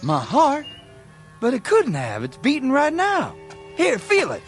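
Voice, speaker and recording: Lively, folksy young country man. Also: very high voice, measured pace, soft background music, exaggerated voice. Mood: incredulous. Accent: rural American. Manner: folksy, incredulous. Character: plain-spoken young country man, indignant, risen from his coffin. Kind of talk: radio drama acting.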